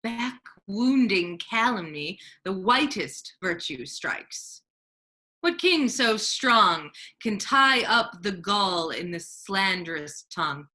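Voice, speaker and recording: A woman's voice: mid-range voice, expressive, takes it slowly, pushed and bright. A woman acting a dignified, indignant friar in a staged Shakespeare reading, speaking in a formal, righteous manner. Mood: brooding. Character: Duke disguised as a male friar, dignified, indignant.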